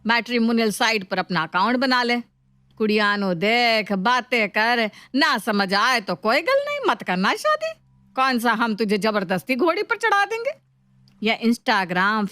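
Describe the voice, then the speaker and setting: A woman's voice: fairly high pitch, big pitch swings, unhurried, pushed and bright, no echo, exaggerated voice. A woman voicing a pushy, coaxing middle-aged mother, exasperated and teasing.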